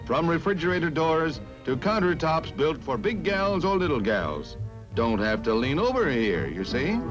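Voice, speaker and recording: Loud music runs under a fairly high-voiced American man narrating a 1950s newsreel. He delivers his script as a dramatic announcer, on a vintage film soundtrack. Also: dull audio.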